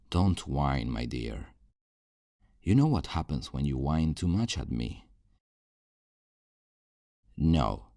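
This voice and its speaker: A very low, gravelly male kidnapper, acted by a man for an ASMR roleplay. He speaks slowly and softly, coaxing and condescending, with possessive tenderness over quiet menace. The sound is dry and close. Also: dry recording, exaggerated voice. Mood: condescending control. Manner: slow, hushed, coaxing, condescending endearments. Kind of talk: ASMR roleplay audio drama.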